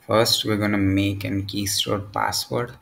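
A man narrating a step-by-step software tutorial in short, halting phrases. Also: lowish voice, flat delivery, gravelly. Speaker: tutorial presenter.

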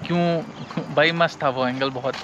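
A man's voice: a little high, steady tone, normal speed, lo-fi sound. A young man chatting cheerfully to viewers as he vlogs a motorbike ride outdoors, speaking into an action camera. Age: young adult. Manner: chatty and upbeat, addresses viewers as brothers. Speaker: motovlogger. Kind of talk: casual vlog narration. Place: outdoors on a highway and roadside, partly riding a motorbike. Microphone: chest-mounted action camera, also selfie at arm's length. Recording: noisy outdoor action-cam audio. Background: wind, traffic and engine noise.

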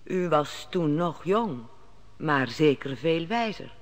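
A woman's voice: deep voice, animated, takes it slowly. A worried, commanding queen. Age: middle-aged. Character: middle-aged queen, anxious and probing. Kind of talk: 1950s-60s radio drama acting. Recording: old radio play with long reverb and faint hiss.

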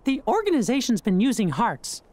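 An actor voicing a small, earnest, plucky cartoon mouse in a video game, in a squeaky falsetto. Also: male voice, wildly swooping pitch, fairly quick, a little mellow, heavily acted. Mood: serious, explaining.

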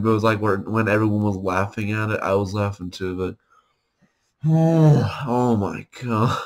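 A young man giving casual reaction commentary in an amused, halting way, often laughing through his words. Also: lowish voice. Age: young adult. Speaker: reaction streamer. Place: small bedroom, indoors.

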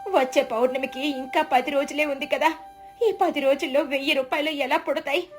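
Woman acting a high-voiced, resolute village wife. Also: a little fast, faint music behind, lightly acted. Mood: alarmed, indignant. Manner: earnest, expressive, questioning. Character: clever, resolute village farmer's wife, worried yet brave. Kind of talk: dramatized Telugu moral story.

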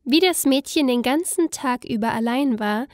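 A woman's voice: sits high, moderate pace, no room sound, studio quality. A woman narrating a scripted fairy tale expressively, with careful storytelling phrasing.

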